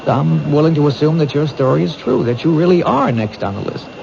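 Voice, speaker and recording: A gravelly, thoughtful detective. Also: male voice, on the high side, big pitch swings, fairly quick. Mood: probing. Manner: gentle, probing questions. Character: patient, thoughtful male police detective. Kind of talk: 1970s radio drama acting. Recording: dull old radio broadcast, noisy.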